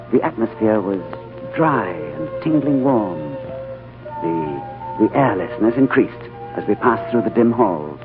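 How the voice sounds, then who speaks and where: A man playing a composed, thoughtful gentleman in a 1940s radio drama, urbane and reflective. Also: fairly low pitch. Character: composed, thoughtful gentleman diplomat, narrating his adventure. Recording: old narrow-band radio, music behind.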